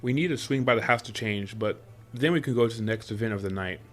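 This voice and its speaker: Low-voiced, teasing, affectionate boyfriend, acted by a man. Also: fast, a bit bright. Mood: excited, secretive. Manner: teasing, chuckles often, coaxing. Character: affectionate playful boyfriend spoiling his sweetheart with surprises. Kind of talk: boyfriend roleplay audio drama.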